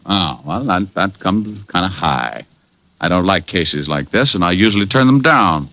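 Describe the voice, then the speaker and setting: Wisecracking, low-voiced private eye. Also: male voice, lively intonation, normal speed, pushed and bright, no room sound, heavily acted. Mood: wry. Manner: wisecracking, wry, laid-back. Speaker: private detective and narrator. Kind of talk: 1940s radio acting.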